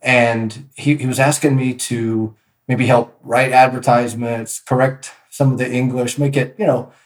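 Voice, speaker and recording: A man's voice: medium pitch, measured pace. Man telling stories in an echoey room. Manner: relaxed, rambling with many restarts and fillers. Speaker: podcast guest, real estate agent. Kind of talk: casual interview storytelling.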